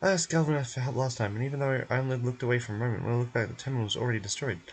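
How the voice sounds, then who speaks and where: A man's voice: medium pitch, fairly even intonation, racing, close to an everyday voice. A man voicing a chatty game research technician who explains things and grumbles but helps. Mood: explaining, grumbling. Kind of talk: game character voice acting.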